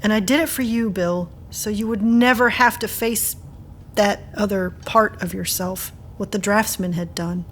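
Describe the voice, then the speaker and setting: An actress playing a fiery, protective aunt in an audio drama, speaking bluntly and with emotion. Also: female voice, medium pitch, normal speed, a little hiss or hum, lightly acted. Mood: confessional. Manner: blunt, urgent questioning.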